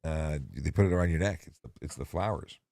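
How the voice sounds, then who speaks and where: A middle-aged man with a very low, gravelly voice hosts a casual livestream. He talks loosely and often stops to restart his sentences. The sound is dry and close. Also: darkish tone, dry recording. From Ontario. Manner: halting, with many restarts. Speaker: host of a police traffic Q&A livestream.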